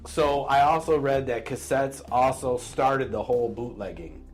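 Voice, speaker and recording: A man's voice: mid-range voice, normal speed. A middle-aged American man co-hosting a podcast in a casual, conversational style. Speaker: podcast co-host. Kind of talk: casual chat.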